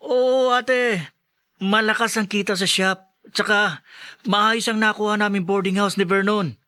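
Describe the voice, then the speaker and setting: A man's voice: high-pitched, steady tone, a little slow, sharp tone, clean tone. A man playing a struggling, anxious young student, earnest and hesitant, in a Filipino radio drama. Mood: falsely cheerful.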